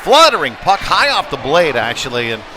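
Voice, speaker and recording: Man calling hockey over loud rink noise. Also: fairly high pitch, wildly swooping pitch, normal speed. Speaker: play-by-play commentator. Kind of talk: live youth ice hockey play-by-play commentary. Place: indoor ice rink, at the game. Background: loud rink ambience, bangs.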